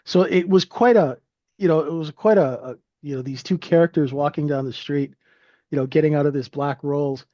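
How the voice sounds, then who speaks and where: Man, 50s, grew up in Canada; friendly, filler-heavy storyteller; slightly high voice on a dull, dry recording. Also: normal speed, mellow, dry recording. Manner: rambling, friendly, lots of fillers. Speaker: guest trader. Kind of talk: casual interview storytelling.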